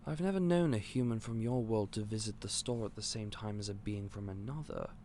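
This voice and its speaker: A man playing an eccentric, otherworldly candy store owner in an audio roleplay, polite and gentle but a little scatterbrained. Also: lowish voice, a little fast, a little hiss or hum. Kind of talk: scripted audio roleplay to the listener.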